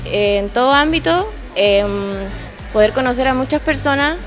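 A woman's voice: sits high, animated, normal speed. A twenty-year-old Chilean woman speaking hesitantly in an interview with many fillers. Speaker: pageant contestant. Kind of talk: casual interview. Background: music.

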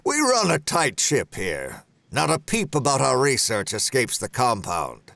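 An actor playing a smooth, controlling executive of a drug company in an audio drama. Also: male voice, medium pitch, huge pitch swings, unhurried, a bit bright, dry recording, heavily acted. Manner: controlling, insistent. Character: smooth commanding male pharma executive. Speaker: corporate boss.

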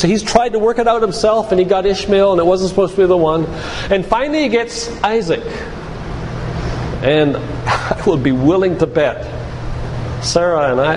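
An older man giving an emphatic, preacherly church lecture, putting rhetorical questions to his listeners. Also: fairly high pitch. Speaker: physician giving a faith-based lecture. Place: church, speaking to a congregation. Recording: echoey church hall with background noise.

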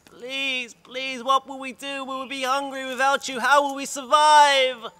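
A man voicing a frightened village woman who begs and pleads in a high, strained voice. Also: measured pace, bright, forceful tone, exaggerated voice. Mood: pleading.

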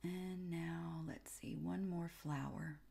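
A woman with a notably low voice narrates a how-to tutorial in a casual, step-by-step way. She speaks very slowly, breaking her instructions into short phrases with pauses between them. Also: steady tone, creaky, dark tone. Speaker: tutorial host.

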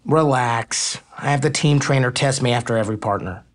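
A man's voice: medium pitch, a little fast, natural voice. An actor playing a cocky, teasing male pro baseball player in a romantic comedy audio drama. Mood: teasing, unbothered.

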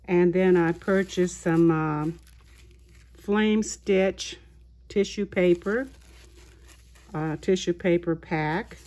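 A woman hosting a haul video of her craft purchases, talking in an unhurried way with many small pauses and fillers. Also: lowish voice, a little mellow. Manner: slow, unhurried, halting with fillers. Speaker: craft channel host. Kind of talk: show-and-tell haul video. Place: indoors at a tabletop, voice-over of hands.

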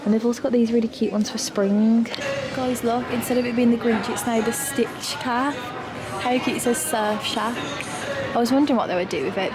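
A woman, chatting excitedly in a casual shopping vlog, filmed on a handheld phone inside big stores. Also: mid-range voice. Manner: gushing, upbeat, lots of "like". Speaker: vlogger. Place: large indoor shopping stores. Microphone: handheld phone at arm's length. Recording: loud store ambience behind voice.

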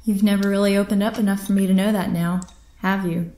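A woman's voice: medium pitch, steady tone, fast talker, warm tone, lightly acted. An actress playing a defiant, disgraced air officer in an audio drama, solemn and formal in her speech. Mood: guarded. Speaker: voice actor. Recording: echoey space with faint noise.

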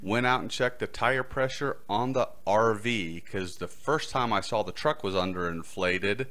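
A man's voice: fairly low pitch, unhurried, fairly bright tone. A middle-aged man hosting a podcast, telling a prepared personal story into a close microphone. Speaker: podcast host. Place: small studio set indoors. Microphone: close boom-arm microphone.

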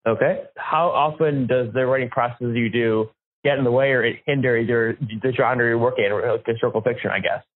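A man's voice: medium pitch, steady tone, a little fast, phone-quality sound. A man hosting a podcast, putting interview questions to a guest.

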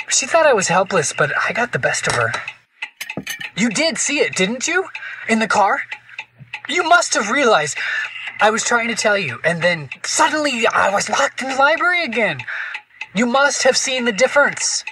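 A man's voice: high-pitched, recorded from a distance, noisy background. A man playing a fragile, tormented husband in an audio drama, jittery and overwrought. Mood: frantic. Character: nervous, tormented occultist husband, fragile and frantic.

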